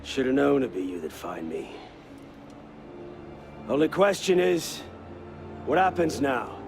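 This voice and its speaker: An actor playing a bitter, fanatical rogue assassin, intense and scornful, in a video game cutscene. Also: male voice, medium pitch, sing-song, unhurried, creaky, music in the background. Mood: bitter, defiant.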